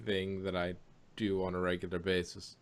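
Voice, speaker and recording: A man co-hosting a podcast in casual chat, with somewhat halting, hesitant phrasing. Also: deep voice, monotone, clean tone. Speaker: podcast host. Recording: dull lo-fi audio.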